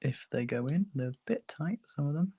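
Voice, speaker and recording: A man with a hoarse sore throat, hosting a relaxed, chatty livestream tutorial while building a model kit at his workbench. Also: a little high, brisk, dry recording. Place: hobby workbench, filmed from overhead.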